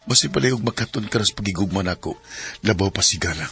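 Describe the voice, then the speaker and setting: A man's voice: on the low side, expressive, fast, gravelly, fairly bright tone, faint music behind. A man playing a devoted male best friend in a radio drama, earnest and pleading. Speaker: best friend turned suitor.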